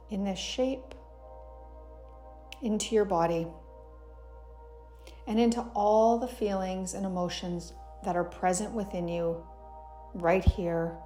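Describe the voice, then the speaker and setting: A woman guiding a yoga meditation in a soothing, gentle, unhurried way, as a teacher giving calm instructions. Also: mid-range voice. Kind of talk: guided meditation narration.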